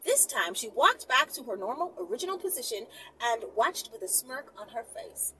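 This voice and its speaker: An American woman reading a story aloud as a channel host, expressive and sassy, dropping in casual asides. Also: a little high, moderate pace, slight background noise, poor audio.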